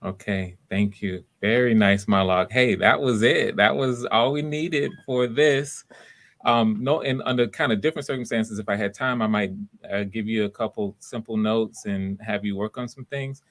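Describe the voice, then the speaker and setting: A man's voice: fairly low pitch, normal speed, a bit bright. A man hosting live voice auditions, talking casually and encouragingly to the people taking part. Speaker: host running voice auditions. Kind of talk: casual livestream talk.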